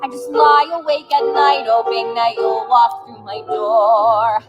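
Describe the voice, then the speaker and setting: A woman's voice: a little high, flat delivery, a little slow, muffled recording, natural voice. A woman performing a lovesick young wartime wife, singing in musical theatre style. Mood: anxious, hopeful. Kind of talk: sung musical theatre with piano-style accompaniment. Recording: loud music accompaniment.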